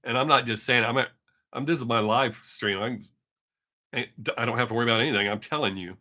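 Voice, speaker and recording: Man, 50s, Texan accent, live stream chat, rambling, profane, bright tone, webcam mic. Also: medium pitch, fast. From Texas. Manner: rambling, profane, chatty with viewers.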